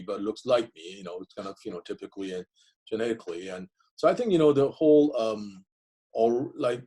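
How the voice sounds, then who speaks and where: A man's voice: lowish voice, dark tone, breathy edge. A middle-aged man whose first language is Korean, answering interview questions reflectively, with many fillers and restarts. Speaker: interviewee, divinity school professor.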